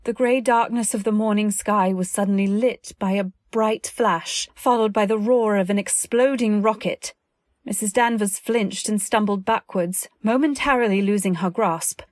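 A woman playing an anxious, earnest wife, narrating a radio drama in a literary, deliberate way. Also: medium pitch, no room sound, clean studio sound, natural voice. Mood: tense, reflective. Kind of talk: dramatic scripted narration, old-time radio.

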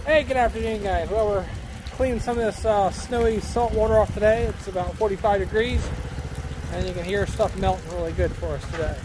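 A man's voice: high-pitched, brisk. A man in his thirties, a farmer vlogging casually and chatting easily while working in snowy farm vehicles. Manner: easygoing, chatty. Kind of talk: casual vlog narration. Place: farm vehicles and outdoors in snow. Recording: loud engine noise. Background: engine and vehicle noise.

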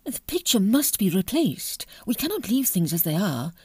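An actress playing an intense young Edwardian lady clairvoyant in an audio drama, formal and dramatic, with a husky voice. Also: mid-range voice, sing-song, moderate pace, darkish tone. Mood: resolute. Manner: formal, dramatic, hesitant when recalling.

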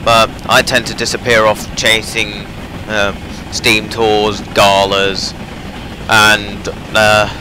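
Young male gamer host, deep-ish, unhurried, hesitant rambler, voice wearing out, noisy home recording. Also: level tone. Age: young adult. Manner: rambling, hesitant, many fillers. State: losing his voice. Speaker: gaming channel host. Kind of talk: casual train-simulator gameplay commentary.

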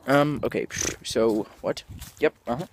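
Stuffy nosed teen guy goofing around on his phone vlog, middle pitch, kinda nasal. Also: a little slow, heavy background noise. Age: teens. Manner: rambling, self-mocking, jokey. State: congested sinuses. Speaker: vlogger. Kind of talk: casual selfie vlog. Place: outdoors in cold woods, then indoors. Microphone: phone at arm's length.

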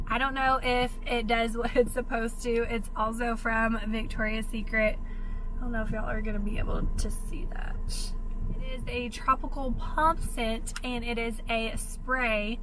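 A woman's voice: fairly high pitch, steady tone, a little slow, pushed and bright, slight background noise, low-quality recording. A young woman, chatting casually in a vlog from inside a parked car, her voice a little stuffy and nasal from a cold. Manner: chatty, upbeat, says "y'all". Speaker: vlogger. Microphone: camera facing her from the dashboard.

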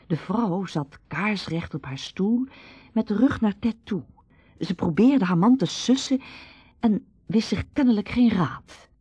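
A woman's voice: mid-range voice, animated, measured pace, warm tone, slightly rough, no echo, highs cut off. A woman narrating a radio serial in a scripted storytelling style.

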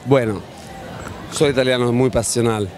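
Medium-pitched man on a noisy street. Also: steady tone, moderate pace, darkish tone. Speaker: street interviewee. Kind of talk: short emphatic casual answers.

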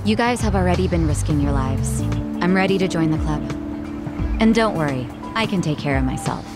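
A confident young hostess with a sharp tongue in a video game. Her lowish voice is poised and assertive, over loud music. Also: animated, fairly quick.

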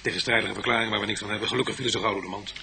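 An actor playing a blunt, colloquial police detective in a Dutch radio drama, informal and quick to butt in. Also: male voice, lowish voice, fairly even intonation, gravelly, crowd noise. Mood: blunt, grumbling.

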